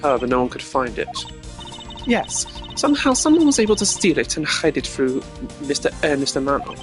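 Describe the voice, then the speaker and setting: A formal young male prosecutor from a video game, acted out by a man, reasons in a measured, analytical way. Each phrase is deliberate, and music plays clearly behind him. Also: on the high side, big pitch swings. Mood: assertive, reasoning.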